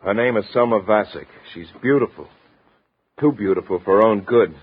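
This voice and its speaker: An American actor playing a moody, sardonic, lovesick sketch artist with an obsessive streak, clipped in delivery, in a 1950s radio drama. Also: male voice, on the low side, normal speed, slightly rough. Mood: intense, obsessive. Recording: dull, muffled vintage radio recording.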